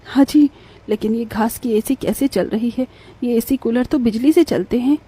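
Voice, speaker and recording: A woman's voice: on the high side, moderate pace, warm tone, slightly rough. A woman playing an anxious, hard-working village mother in a cartoon story, fretful in manner. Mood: puzzled.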